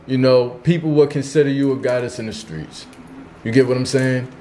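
A man's voice: mid-range voice, fairly even intonation, brisk, dark tone, slight background noise. A man interviewing a guest, asking questions in an easygoing, slang-filled way.

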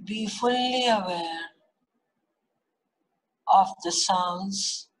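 A woman leading a guided meditation, giving gentle, unhurried instructions in short repeated phrases with long pauses. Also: mid-range voice, low-quality recording. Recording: music behind the voice. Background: meditation music.

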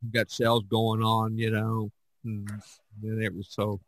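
A man's voice: on the low side, completely flat delivery, no echo. Rambling male podcast host, dry close sound. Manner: rambling, halting, repeats words. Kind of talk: casual chat.

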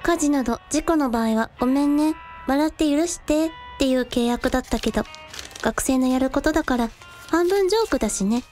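A woman playing a considerate, composed younger sister with a gently wry streak, in a radio drama. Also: high voice, expressive, brisk, soft background music. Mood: chatty.

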